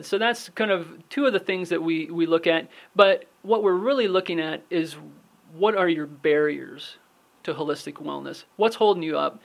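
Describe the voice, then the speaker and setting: A man's voice: on the high side, vocal fry. A man who is a guest on a radio interview, explaining his wellness business earnestly and steadily, with frequent fillers.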